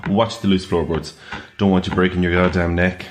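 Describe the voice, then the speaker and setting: A man's voice: sits low, level tone, moderate pace, a little hiss or hum, close to an everyday voice. An actor playing an eccentric male party host in an audio drama, theatrical, mischievous and bossy. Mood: teasing.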